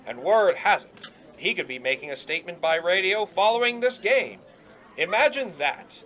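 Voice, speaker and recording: An actor playing a cocky, grandiose young 1920s radio announcer in an audio drama, florid and emphatic. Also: male voice, sits high, animated, normal speed, sharp tone. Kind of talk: period audio drama acting.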